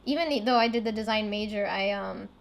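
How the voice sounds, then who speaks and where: Fast-talking young woman hosting an interview. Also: mid-range voice, gravelly. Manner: quick, chatty, lots of fillers like "like" and "you know". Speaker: host. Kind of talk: casual interview.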